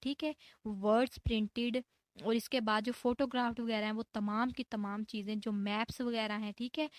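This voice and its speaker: Pakistani lady teaching law for exams, voice a bit high, keeps repeating points and checking in, no room echo. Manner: repetitive, emphatic teaching with frequent check-in tags. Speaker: law lecturer. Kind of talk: explanatory exam-prep lecture, mixing in English legal terms.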